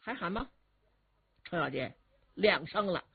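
A woman's voice: on the low side, expressive, unhurried, gravelly, husky, no echo, narrow, tinny audio, heavily acted. Woman playing an impatient, menacing bandit king. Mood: impatient, commanding.

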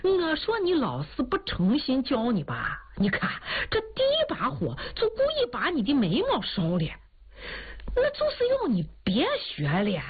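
A woman's voice: high-pitched, husky, pitched above the performer's own voice, heavily acted. A woman voicing a teasing old man who keeps a coffin shop, talking in sly, mocking banter. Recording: tinny phone-like sound, faint music.

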